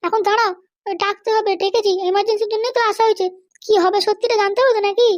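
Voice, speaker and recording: A man voicing a bossy, short-tempered middle-aged cartoon wife with a West Bengal accent and a pitched-up voice. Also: level tone, racing, no room sound, clean studio sound. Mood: scolding. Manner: scolding, threatening. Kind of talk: comic cartoon dialogue.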